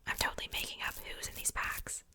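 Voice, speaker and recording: Young female ASMR creator, fully whispered and breathy, sharp bright sibilance, casual and unhurried.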